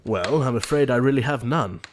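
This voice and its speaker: A man playing an earnest, formal young gentleman of twenty-nine in a theatrical audio drama, polite and deferential. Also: mid-range voice, brisk, a little hiss or hum, lightly acted.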